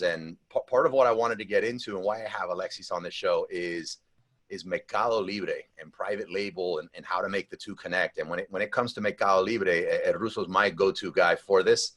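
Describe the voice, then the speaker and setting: Rambling man hosting a podcast, low-pitched. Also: a bit bright. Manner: loose and rambling, with many restarts and "like". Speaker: podcast host. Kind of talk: casual podcast chat.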